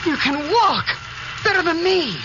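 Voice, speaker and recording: An actor playing an earnest, guilt-ridden son in a 1950s radio drama. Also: male voice, very high-pitched, sing-song, unhurried, background noise, full character voice. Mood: stunned, guilty. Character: earnest, guilt-ridden grown son who walks with cane. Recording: muffled 1950s radio recording.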